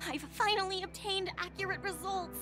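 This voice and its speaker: A woman's voice: very high voice, normal speed. A woman playing a small, intense, brainy female researcher in a video game, precise and dramatic in her delivery. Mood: urgent, explanatory. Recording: game music clearly behind voice.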